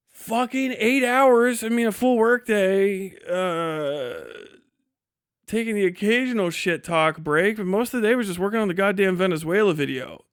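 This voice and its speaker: Tired guy streamer, kinda high voice, rambly and sweary casual chat, dry sound no room echo. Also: a bit bright, smooth. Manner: rambling, blunt, heavily profane. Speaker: livestream host and video creator. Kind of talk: casual livestream chat and ranting.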